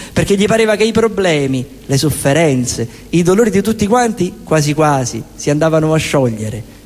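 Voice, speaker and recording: A man's voice: fairly high pitch, big-room sound. A man with a Roman accent performing colloquial theatrical storytelling, repeating phrases rhythmically. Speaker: storyteller and singer-songwriter performing theatre and music. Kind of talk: theatrical storytelling and spoken song over guitar. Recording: loud guitar music under the voice. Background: acoustic guitar.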